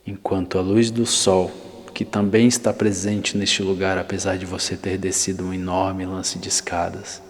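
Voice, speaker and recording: A man with a Brazilian accent narrating an ASMR guided visualization in a soothing, deliberate manner with long pauses. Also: sits low, little pitch movement. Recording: long reverb, faint hiss and ambience.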